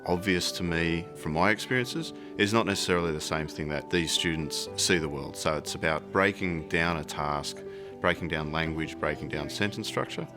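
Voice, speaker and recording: A young man, a student teacher, reflecting deliberately in a testimonial. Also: deep voice. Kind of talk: video testimonial. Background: background guitar music.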